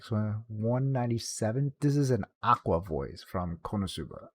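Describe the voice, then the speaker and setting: A woman's voice: very deep voice, expressive, normal speed, darkish tone, breathy edge. A woman presenting a showcase with casual, informal narration. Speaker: video presenter.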